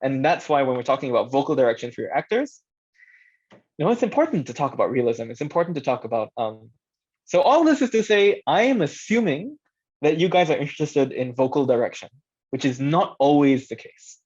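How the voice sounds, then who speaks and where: A man's voice: fairly high pitch, sing-song, fairly quick, darkish tone, husky. A man giving an informal workshop talk as presenter, conversational, thinking aloud and checking in with his listeners. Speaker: workshop presenter, audio drama director. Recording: dull, lo-fi band-limited sound.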